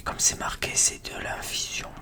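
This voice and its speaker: A person whispers a breathy, hesitant, rambling ASMR chat to viewers as a video creator. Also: moderate pace.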